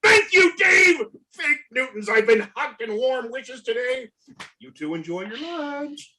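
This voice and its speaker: Man acting a high-pitched, chipper office coworker. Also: sing-song, a little slow, background noise, heavily acted. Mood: chipper, effusive. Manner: chirpy, effusive. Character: chipper, self-important male snack company coworker. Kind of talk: comedic play table reading.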